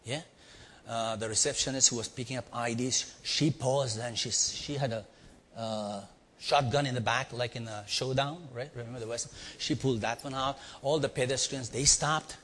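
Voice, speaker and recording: A man's voice: mid-range voice, steady tone, moderate pace, a bit bright, a little hiss or hum. A middle-aged man with an Indian accent tells a personal story to a live audience, acting out his exclamations and putting questions to the listeners. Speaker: speaker teaching storytelling. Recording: long reverb of a hall.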